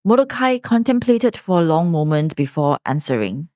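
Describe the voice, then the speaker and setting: A woman's voice: low voice, sing-song, normal speed, a little mellow, no echo, studio quality. A woman narrating a scripted story recap as the storyteller.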